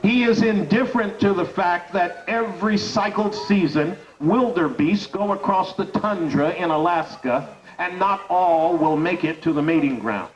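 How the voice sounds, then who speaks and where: A man's voice: sits high, unhurried. A man who hosts a radio talk show, delivering a rousing speech to an audience with emphatic, repeated rhetorical build-ups. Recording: dull, distant recording.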